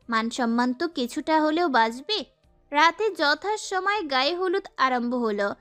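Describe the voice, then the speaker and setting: A young woman performs a sassy, girlish heroine, telling a romance audio story. Her voice is very high and bright, with lively swings in pitch and an expressive, feisty delivery. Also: heavily acted. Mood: whiny, indignant. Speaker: narrator and lead actress. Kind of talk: dramatised first-person romance story narration.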